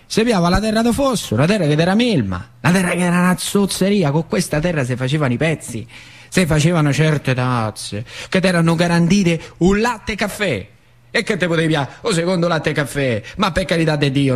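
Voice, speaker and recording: An earthy old factory hand tells his memories in central Italian Lazio dialect. He is plain-spoken and emphatic, repeating phrases like a born storyteller in a theatre monologue, with a fairly high male voice. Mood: animated.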